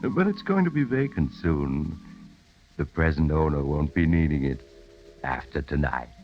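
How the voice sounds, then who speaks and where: An American actor playing a sly, sinister mystery host, speaking with ominously genial, teasing pauses in 1940s radio style. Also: male voice, rumbling low, sing-song, full character voice. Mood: sly menace. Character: sly, sinister storyteller teasing listeners with dark hints. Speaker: mystery show host and narrator. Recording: muffled 1940s radio recording with music.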